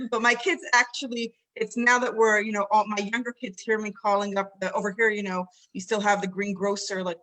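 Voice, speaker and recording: A woman talking casually in a live stream. She sounds relaxed, chatty and good-humored. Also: medium pitch, rough recording. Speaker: rabbi's wife.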